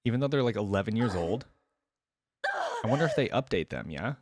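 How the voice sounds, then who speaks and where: A man's voice: fairly low pitch, expressive, fairly quick. A man hosting a reaction video, commenting casually and musing aloud with questions for viewers.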